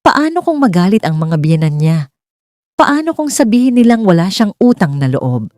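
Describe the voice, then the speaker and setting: A woman's voice: medium pitch, very animated, normal speed, a little mellow. A woman with a Filipino accent narrating a scripted romance story in a dramatic style.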